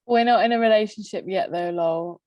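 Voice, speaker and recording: A woman's voice: medium pitch, a little fast, dry recording, highs cut off. A woman co-hosting a podcast, half Greek and half English with Greek as her first language, bluntly reading out messages, laughing often and swearing. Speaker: podcast co-host. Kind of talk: casual chat reading out messages.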